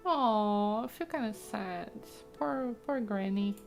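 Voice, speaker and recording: A woman's voice: medium pitch, takes it slowly, vocal fry, faint music behind. A woman giving casual, chatty let's play commentary with an enthusiastic, cooing manner. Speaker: gaming video host. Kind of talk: casual let's play commentary, reading game text aloud.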